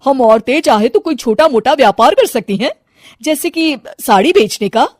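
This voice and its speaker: A woman's voice: high-pitched, animated, normal speed, darkish tone, no room sound, clean studio sound. A woman playing a bold, ambitious young housewife in a cartoon story, speaking in an emphatic, urging way. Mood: determined. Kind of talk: cartoon moral-story acting.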